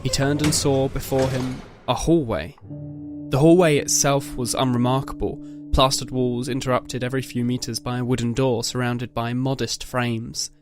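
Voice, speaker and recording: A man narrates a scripted dramatic story in a measured, literary manner. His voice sits at a medium pitch, with soft music running behind it.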